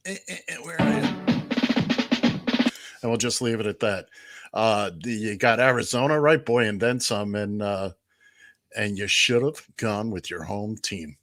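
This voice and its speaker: Bright-voiced playful male radio host. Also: fairly low pitch, measured pace, long pauses, faint music behind. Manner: playful, theatrical announcer delivery with teasing. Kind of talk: casual radio banter and game segment hosting.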